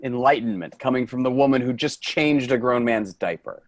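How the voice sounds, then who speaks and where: A man's voice: mid-range voice, expressive, moderate pace, close to an everyday voice. An embittered, defensive career man, acted by a man in a staged reading. The melody swings widely as the haunted worker pushes back against everyone. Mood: guarded.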